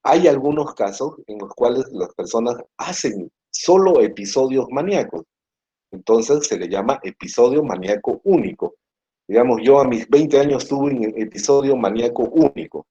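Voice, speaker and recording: A man's voice: mid-range voice, animated, normal speed, warm tone, slightly rough. A man with a Peruvian Spanish accent giving a university lecture in an explanatory tone, often ending phrases with a tag question. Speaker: psychiatry lecturer. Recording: muffled lo-fi classroom recording.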